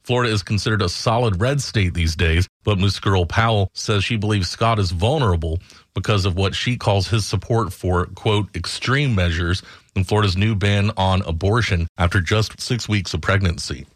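A man's voice: sits low, normal speed, dry recording, studio quality. An American man reading scripted news headlines as a radio anchor.

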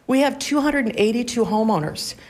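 A woman with a fairly high voice speaks as a local resident. She is delivering a public comment, listing her concerns. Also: lively intonation, fairly quick, darkish tone.

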